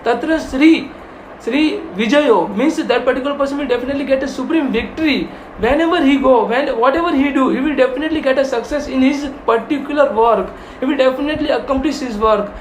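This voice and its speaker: A spiritual teacher with an Indian accent giving a devotional lecture in an earnest, repetitive, halting way. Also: sits high. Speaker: spiritual teacher and video host. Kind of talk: devotional lecture with verse recitation. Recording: roomy echo, faint background noise.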